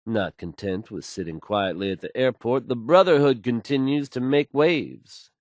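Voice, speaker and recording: An actor playing a self-assured, smooth male radio DJ in a game, delivering news with polished, wry showmanship. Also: mid-range voice, moderate pace, no echo, studio quality, close to an everyday voice. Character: confident, suave, upbeat male radio DJ.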